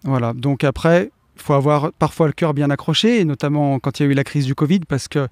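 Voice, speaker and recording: A man in his late thirties with a voice pitched a little above most men's, speaking as an interview guest about his own path. He talks in a relaxed, affirming way with frequent fillers, recorded dry and close. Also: fairly quick, no echo. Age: 30s. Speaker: guest investor. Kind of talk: casual conversational interview.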